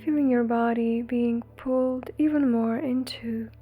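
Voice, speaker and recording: A woman leading a guided meditation in a soothing, breathy, gentle way, leaving long pauses between phrases. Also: a little high, steady tone, phone-quality sound. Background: faint music.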